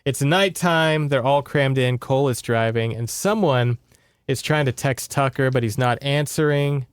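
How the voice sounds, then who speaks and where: A man's voice: mid-range voice, moderate pace, clean tone, no echo, studio quality. A man hosting a podcast, retelling a book in casual, conversational chat and checking in with his listeners. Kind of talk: casual story recap chat.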